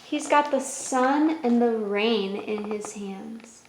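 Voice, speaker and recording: High-voiced woman hosting children's story time. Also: slow, very noisy. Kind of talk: children's story time, reading aloud and explaining. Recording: roomy echo with music.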